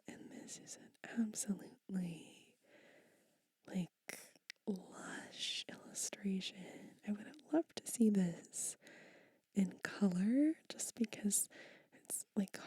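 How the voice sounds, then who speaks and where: A woman whispering softly through a book reading for ASMR, pausing to comment between verses. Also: mid-range voice, breathy edge. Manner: soft whisper, unhurried, reads verses aloud. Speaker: ASMR reader.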